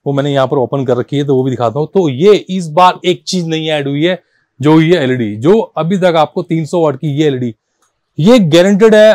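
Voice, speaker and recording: A man gives a casual, persuasive sales pitch as a shop seller, often repeating himself and mixing languages informally. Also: darkish tone, dry recording. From India. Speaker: car accessories shop seller and presenter.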